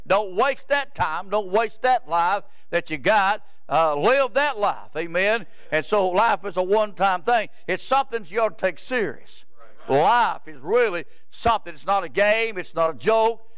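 Folksy older preacher, high and clear. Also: male voice, animated, unhurried, a bit bright, no room sound, clean studio sound. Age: 70s. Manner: rambling, repeats words, asks "Amen?" of the crowd. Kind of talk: folksy sermon. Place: church sanctuary, indoors.

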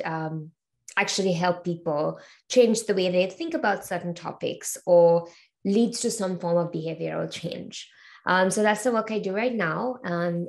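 A woman's voice: fairly low pitch, creaky, darkish tone. A woman in her twenties from Sri Lanka, a guest on a video call interview, answering thoughtfully with many ums and uhs. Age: 20s. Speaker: interview guest, learning experience designer. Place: home room with bookshelves. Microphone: video call webcam.

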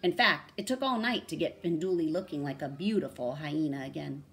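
A woman's voice: deep voice, brisk, a bit bright. A woman in her thirties or forties reading a storybook aloud and explaining words. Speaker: read-aloud host. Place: small room indoors.

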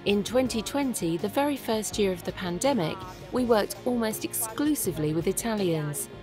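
Steady female voice-over over music. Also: mid-range voice, moderate pace. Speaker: voice-over interpreter for the guest. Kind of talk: English voice-over translation.

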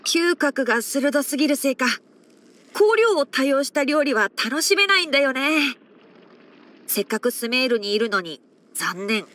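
A woman voicing a clever, gentle young male fox-eared ranger chief in a video game, with a light boyish tone and measured, softly wry, articulate delivery. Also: high voice, big pitch swings. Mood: matter-of-fact. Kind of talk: Japanese game character voice-over.

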